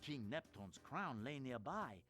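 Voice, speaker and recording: A man's voice: medium pitch, very animated, unhurried, a bit bright. A man playing a grandiose, theatrical narrator, delivering melodramatic storybook narration for a cartoon game in a pompous manner. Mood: grave, dramatic. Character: grandiose, theatrical male storyteller, fussily dramatic. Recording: music behind the voice.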